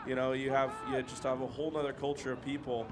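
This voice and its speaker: A man's voice: medium pitch, flat delivery, fast. A man from the United States with an American accent, giving live football commentary. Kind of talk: live play-by-play sports commentary. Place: outdoors at a football ground. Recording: outdoors with loud crowd noise. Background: loud crowd.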